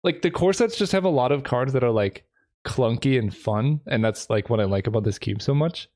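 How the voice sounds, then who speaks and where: A man with a hoarse voice, casually commenting to himself as he drafts cards for a video, thinking aloud with lots of fillers. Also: mid-range voice, animated, a little fast, a little mellow. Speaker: gaming video creator. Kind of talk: casual commentary while drafting a card game.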